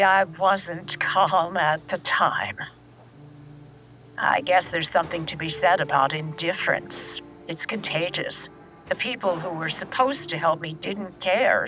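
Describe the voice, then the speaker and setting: Slow-spoken, candid elderly grandmother. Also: low voice, sharp tone, full character voice. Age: older. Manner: reflective, measured storytelling. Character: elderly grandmother recalling her past, candid and steady. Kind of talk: audio drama acting.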